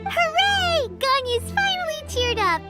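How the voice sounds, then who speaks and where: A woman voicing a tiny, excitable, childlike floating girl companion in a video game, squeaky and exclamatory. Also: measured pace, exaggerated voice. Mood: gleeful. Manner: childish, exclamatory, speaks of herself in third person. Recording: loud music under the voice.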